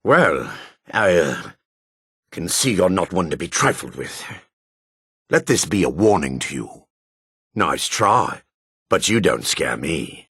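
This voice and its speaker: A very low, husky male dark elf from a fantasy game. He is a haughty rogue, curt and suspicious, and his delivery swings widely in pitch. The sound is gravelly and disdainful. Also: sing-song, slow pace, pauses often, no room sound, studio quality, heavily acted. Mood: menacing. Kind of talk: video game character dialogue.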